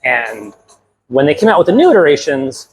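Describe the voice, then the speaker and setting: A young American man, a guest talking about his move abroad in a lively, upbeat way with lots of fillers. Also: mid-range voice, normal speed, a little hiss or hum. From United States. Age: young adult. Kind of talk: casual interview.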